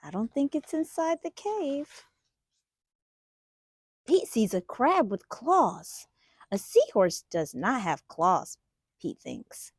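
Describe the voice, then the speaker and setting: Female children's read-aloud host, highish and slightly husky voice, expressive, question-asking delivery, close dry sound. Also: takes it slowly, long pauses, dry recording. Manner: expressive, asks young listeners questions. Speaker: children's storytime host and reader.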